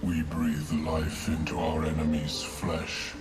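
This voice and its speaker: Fungal sovereign, game creature: bottomless pitch, glacial delivery, droning, ceremonial, grieving and vengeful. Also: male voice, completely flat delivery. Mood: solemn. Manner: slow, terse, ceremonial pronouncements. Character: ancient mushroom sovereign, grieving, vengeful, solemn. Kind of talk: video game voice acting. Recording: loud music behind. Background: loud music.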